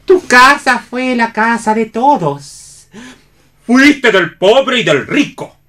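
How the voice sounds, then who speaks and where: Una actriz interpreta a una figura que comenta la acción con ironía, en tono teatral de radio. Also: female voice, fairly high pitch, expressive, slow pace, fairly bright tone. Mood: pointed. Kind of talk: theatrical radio play reading.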